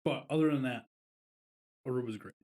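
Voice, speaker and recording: A man's voice: mid-range voice, moderate pace, darkish tone. A man co-hosting a casual podcast, telling a long, rambling vacation story with plenty of fillers. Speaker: podcast co-host.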